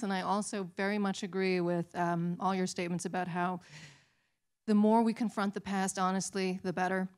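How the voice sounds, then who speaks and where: A woman speaking from the audience at a public talk as an academic specialist, politely and articulately laying out a question. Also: mid-range voice.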